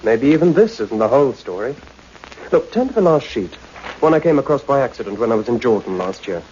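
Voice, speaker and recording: A keen newspaperman played by a male actor with a dark, mellow voice of medium pitch. He talks informally, conversationally, in a radio drama with muffled, faintly noisy sound. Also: big pitch swings, brisk, lightly acted. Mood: insistent.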